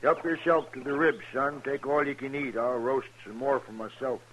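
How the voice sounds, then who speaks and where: An actor playing a hospitable old buffalo hunter with an American Western accent and a genial, folksy manner. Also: male voice, mid-range voice, steady tone, normal speed, fairly bright tone, close to an everyday voice. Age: older. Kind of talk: 1950s radio western acting. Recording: muffled, hissy old radio recording.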